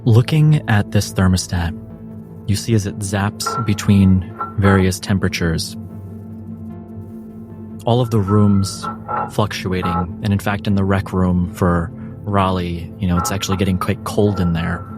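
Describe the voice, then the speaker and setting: A man narrating a tabletop game as game master in a measured storytelling way. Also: deep voice. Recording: music bed under narration.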